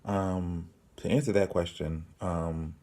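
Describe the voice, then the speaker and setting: A man in his late twenties hosting his own channel, answering viewer questions in a slow, earnest way with many ums and pauses. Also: deep voice, steady tone, mellow. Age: 20s. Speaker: channel host. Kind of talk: casual Q and A to camera.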